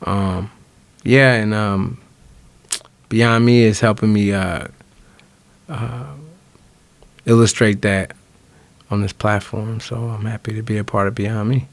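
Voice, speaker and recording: An American man talking casually on a podcast in halting, thoughtful phrases. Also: fairly low pitch, fairly even intonation, no echo. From Indianapolis, USA. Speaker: podcast guest, music artist. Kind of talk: casual conversational podcast introduction.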